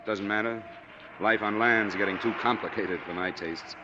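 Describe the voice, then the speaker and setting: Male actor as weary American war veteran, low-pitched, laconic, 1940s radio acting, noisy old recording. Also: steady tone, moderate pace, highs cut off, close to an everyday voice. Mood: casual. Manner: laconic, understated. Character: world-weary, plain-spoken male war veteran, former army major.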